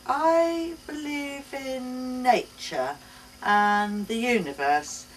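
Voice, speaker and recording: A woman reading the part of an earnest, affectionate mother, halting and emphatic as she explains things to her child. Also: high voice, soft background music, pitched above the performer's own voice. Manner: halting, emphatic, searching for words. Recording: distant mic, noisy live bookshop event.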